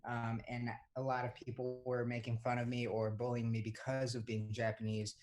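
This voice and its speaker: A man's voice: medium pitch, monotone, moderate pace. Rambling young man telling stories. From Hong Kong. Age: young adult. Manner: rambling storytelling with many fillers and restarts. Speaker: podcast co-host. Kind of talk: casual chat.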